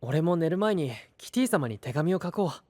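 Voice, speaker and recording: Kind, dependable young anime knight, earnest delivery with lively pitch movement. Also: male voice, moderate pace. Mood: steady. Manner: sincere, clear, encouraging. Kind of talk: anime-style audio drama acting.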